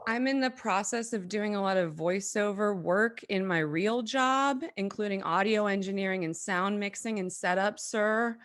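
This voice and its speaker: A woman's voice: mid-range voice, moderate pace, few pauses, a bit bright. A sassy, teasing woman from the American South, bantering casually as a talk show co-host.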